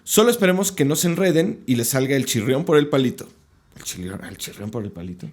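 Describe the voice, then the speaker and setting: A man's voice: medium pitch, lively intonation, fast, a little mellow. A Mexican man reading scripted news briefs informally as a podcast host.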